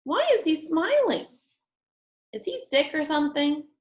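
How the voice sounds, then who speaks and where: A woman voicing an excitable, talkative little girl from a storybook, bubbly and wide-eyed, with emphatic, exclamatory childlike delivery. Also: sits high, measured pace, roomy sound, pitched above the performer's own voice. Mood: happy, curious.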